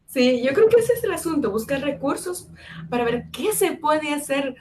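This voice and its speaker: High-voiced sarcastic woman co-host. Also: lively intonation, a crowd in the background. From El Salvador. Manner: sarcastic, jokey, fast-talking with restarts. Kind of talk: casual political chat.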